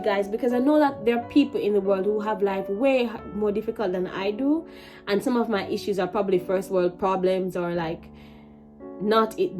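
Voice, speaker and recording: Animated Jamaican woman telling a story. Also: mid-range voice. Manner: animated, emphatic storytelling with many restarts. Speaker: vlogger. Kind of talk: storytime vlog.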